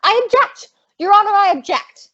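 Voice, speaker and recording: A high-pitched, brash young woman played by an actress in an old-time radio comedy. She is plucky and slangy, quick to bristle with indignation. The recording is a little dull and lo-fi. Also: expressive, bright, forceful tone, exaggerated voice. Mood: indignant.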